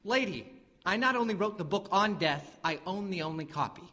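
A man's voice: high voice, lively intonation, moderate pace. A man playing an amiable, awkward king of the underworld in a comic myth drama, earnest, wry and apologetic. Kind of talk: comic mythological stage drama acting. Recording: reverberant hall, background room noise.

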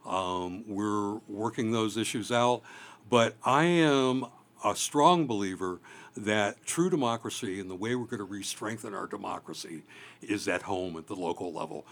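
A low-pitched man, middle-aged, making his case as a local candidate. He talks earnestly and pushes his voice up loud for emphasis, with plenty of ums and restarts. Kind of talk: candidate forum answer.

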